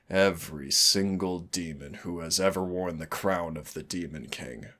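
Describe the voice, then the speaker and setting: A brooding immortal demon voiced by a man speaks in a very deep voice. He takes his time over each phrase, suave and darkly affectionate, with solemn drama suited to an intimate audio roleplay. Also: a bit bright. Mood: grave, vengeful. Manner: teasing endearments, measured, solemn dramatic delivery. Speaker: demon hunter's mentor and partner.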